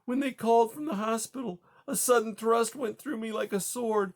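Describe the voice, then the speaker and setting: A man's voice: extremely high pitch, normal speed, pitched above the performer's own voice. A man voicing a grieving, distraught woman who stammers through her tears. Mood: anguished. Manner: breathless, stammering, rising to hysteria. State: crying.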